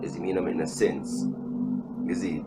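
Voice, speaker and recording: Deep-voiced young Jamaican man over loud music. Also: moderate pace, poor audio. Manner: animated, joking, repeats himself for emphasis. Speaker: video host. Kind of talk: casual storytime chat. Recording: music playing behind the voice.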